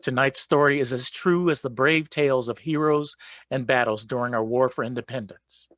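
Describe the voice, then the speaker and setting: An actor playing a solemn storyteller, narrating an old folk legend in a scripted radio drama. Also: male voice, medium pitch, normal speed, a bit bright, dry recording, studio quality, lightly acted. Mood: solemn, measured.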